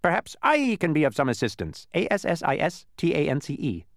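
A man voicing a high-pitched, fussy giant bee. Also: huge pitch swings, fairly quick, dry recording, studio quality. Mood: eager, boastful. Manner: spells words out letter by letter. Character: giant pompous spelling bee, fussy, proud, excitable. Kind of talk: animated storybook character reading.

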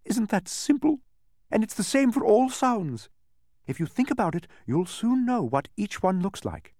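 A man's voice: high-pitched, big pitch swings, moderate pace, darkish tone, no room sound, studio quality, voice pushed up, exaggerated voice. A man voicing a stubborn, bossy, proud woman who keeps sounds in a storybook, speaking in an imperious, instructive way. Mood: bright, showing off. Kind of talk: storybook character voice.

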